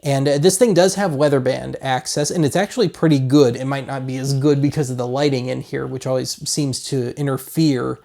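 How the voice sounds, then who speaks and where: A man's voice: mid-range voice, fairly even intonation, a little fast, creaky, hardly any pauses, warm tone. A man in his thirties from Colorado with an American accent, chatting casually through a product review in a wry, rambling way. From Colorado, United States. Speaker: host of a hobby video series.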